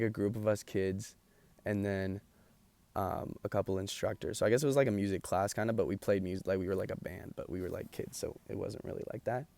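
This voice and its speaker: Tired, gravelly young man rambling. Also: on the low side, dark tone, no room sound. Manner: rambling, loose, full of ums and likes. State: tired, losing his voice. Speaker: vlogger. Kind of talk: casual chat.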